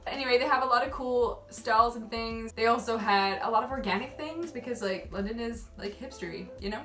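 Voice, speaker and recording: A woman's voice: a little high, fairly bright tone. A young woman who grew up in Malaysia, chatting casually and rambling to camera as a travel vlogger, often laughing at herself. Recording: echoey room, mic at a distance, faint music.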